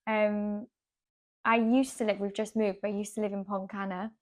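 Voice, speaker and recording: A woman's voice: mid-range voice, flat delivery, normal speed. A woman from Wales with a Cardiff accent, hosting a casual chat and agreeing readily with her guest. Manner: chatty, with frequent agreeing backchannels. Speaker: host.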